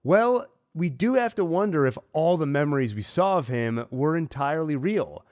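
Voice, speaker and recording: A man hosting a TV recap, talking with a cold in a measured, analytical way. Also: fairly high pitch, expressive, a little mellow. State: has a cold. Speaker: host. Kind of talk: TV episode recap and theories.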